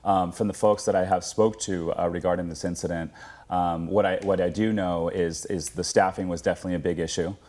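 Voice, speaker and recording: Brief: male news interview guest, American, low-pitched, firm explanatory delivery. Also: fairly even intonation, a little fast, darkish tone. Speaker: interview guest, former air traffic controller.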